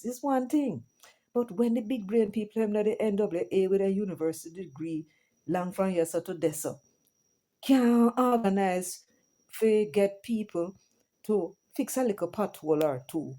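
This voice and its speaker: A woman's voice: medium pitch, animated, moderate pace, dark tone. A middle-aged Jamaican woman hosting her channel, talking casually to viewers and switching between patois and English with chatty asides. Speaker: vlogger host. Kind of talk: casual commentary monologue.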